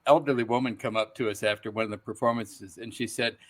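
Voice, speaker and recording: A middle-aged man speaking as a guest voice actor, telling stories casually in a relaxed interview setting. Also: lowish voice, fast.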